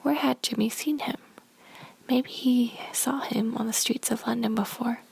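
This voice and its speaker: A woman reading a first-person story aloud in a soft, unvoiced whisper. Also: fairly high pitch, vocal fry, husky, no room sound, rough recording. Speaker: story reader.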